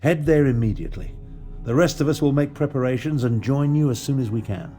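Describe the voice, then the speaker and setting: Grave, learned male mage played by a man for a fantasy game, mellow and formal, deliberate orders, faint music behind. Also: medium pitch, close to an everyday voice. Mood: grave, urgent. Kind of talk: video game dialogue.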